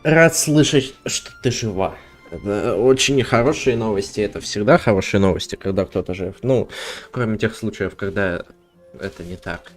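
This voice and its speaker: Deep-voiced man, wry streamer. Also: moderate pace, faint music behind. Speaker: streamer and YouTuber. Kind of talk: casual gameplay stream commentary.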